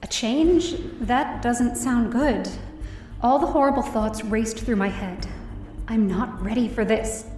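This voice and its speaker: A woman's voice: a little high, takes it slowly, mellow, roomy sound, recorded from a distance, soft background music. A woman playing an emotional young woman who narrates her own story in an audio drama. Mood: anxious. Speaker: first-person narrator and lead.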